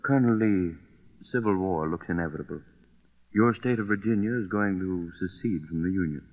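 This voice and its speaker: An actor playing an earnest, courteous government envoy, formal and grave, in old radio drama style. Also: male voice, sits low, a little fast. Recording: tinny phone-like old radio, long reverb.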